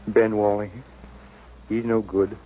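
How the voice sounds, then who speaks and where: An actor playing a dying old frontier father in a radio western, speaking haltingly in a weak, failing voice. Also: fairly low pitch, level tone. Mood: weak, pleading. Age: older. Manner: halting, labored, rustic speech. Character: dying, weakened old frontier father, plain-spoken rancher. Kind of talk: 1950s radio western acting. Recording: muffled, noisy old radio recording.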